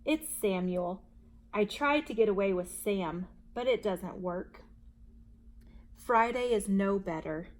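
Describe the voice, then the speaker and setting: A woman reads a children's story aloud as a story time host. Her pace is slow and deliberate, with a mid-range pitch. The delivery is expressive and measured for young listeners.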